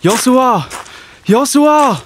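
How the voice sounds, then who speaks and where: A man's voice: extremely high pitch, big pitch swings, extremely slow, fairly bright tone, slight background noise, exaggerated voice. A man with a Swiss German accent playing an earnest, devout spy in a children's Bible audio drama, speaking plainly. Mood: excited, urgent. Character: earnest, devout male spy serving God's people.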